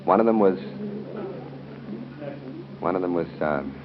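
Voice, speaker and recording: A man's voice: low voice, a little slow. An American man hosting a live 1930s radio quiz, ad-libbing and joking genially with contestants. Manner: genial and joking, chuckles between questions. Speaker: quiz show host. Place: hotel room at a club luncheon. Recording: muffled, noisy 1930s radio broadcast recording.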